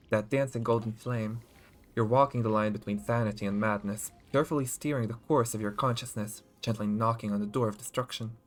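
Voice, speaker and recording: A male streamer reads a game's story text aloud in an ordinary mid-range voice and drops in casual comments along the way. Game music plays faintly behind him. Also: medium pitch.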